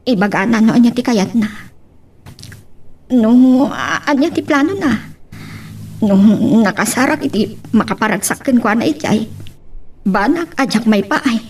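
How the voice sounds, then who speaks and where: A careworn, self-sacrificing mother in an Ilocano drama, acted by a woman. Her voice is mellow and breathy, recorded slightly away from the microphone. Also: on the high side, expressive, unhurried, long pauses, far from the mic. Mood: hurt, resolute. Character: burdened, self-sacrificing mother whose husband is missing. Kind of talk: Ilocano family radio-style drama acting.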